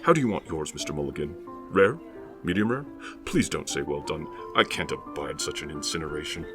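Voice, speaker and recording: Mr. Davis, a man playing a courtly, deep-voiced 1920s gentleman. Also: expressive, a little fast. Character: genteel, long-winded 1920s gentleman host. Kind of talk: 1920s period audio drama. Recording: music playing behind.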